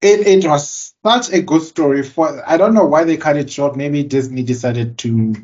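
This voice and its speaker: Earnest man with a slightly high voice. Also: moderate pace, darkish tone, highs cut off. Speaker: podcast co-host. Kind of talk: casual chat reviewing a cartoon.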